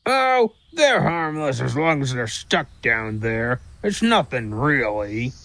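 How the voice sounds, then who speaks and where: Man voicing a slow, creaky, gossiping squirrel. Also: medium pitch, sing-song, a bit bright, exaggerated voice. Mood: breezy, gossipy. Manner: flippant, boastful storytelling. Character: sly, gossiping, mean male squirrel messenger. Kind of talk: audio drama character acting.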